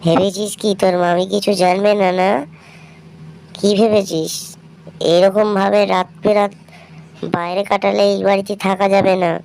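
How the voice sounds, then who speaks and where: A woman's voice: mid-range voice, flat delivery, moderate pace, pauses often, a little mellow, a little hiss or hum, close to an everyday voice, rough recording. Woman playing a nagging, scolding village aunt. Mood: angry, threatening. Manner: nagging, accusing. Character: harsh, scolding village aunt in horror cartoon.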